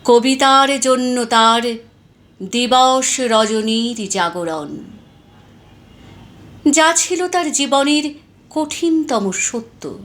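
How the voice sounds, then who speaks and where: Very slow, high-voiced woman reciting poetry. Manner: deliberate, expressive, with dramatic pauses. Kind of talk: dramatic Bengali poetry recitation.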